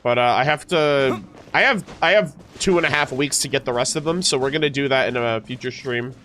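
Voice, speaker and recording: A man's voice: medium pitch, a little fast, fairly bright tone, slight background noise. A man streaming a game, chatting casually with viewers, slightly nasal and stuffed up, with many fillers and restarts.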